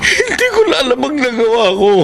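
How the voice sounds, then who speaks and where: A man's voice: extremely high pitch, very animated, brisk, vocal fry, fairly bright tone, faint music behind, full character voice. A man playing a boss who wakes from a drunken night and confesses in anguish, stammering as he speaks, in a classic radio drama. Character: male boss, drunk and now guilt-stricken.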